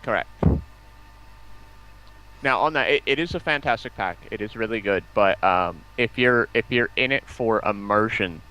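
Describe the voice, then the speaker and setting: Low-voiced man chatting, halting starts. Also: bright, forceful tone, poor audio. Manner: halting, repeats words as he starts sentences. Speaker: guest on a gaming livestream. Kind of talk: casual banter and chat.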